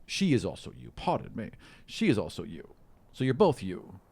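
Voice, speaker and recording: A man's voice: fairly low pitch, huge pitch swings, moderate pace, vocal fry, darkish tone, husky, full character voice. A man voicing an eccentric, confident elf demigod of the hunt, courtly and smug, for a tabletop game. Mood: smug, eager.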